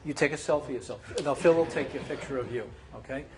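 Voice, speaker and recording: A man's voice: medium pitch, fast talker, a little mellow, slight background noise. A man organizing a club meeting, giving members casual, directive instructions with some fillers.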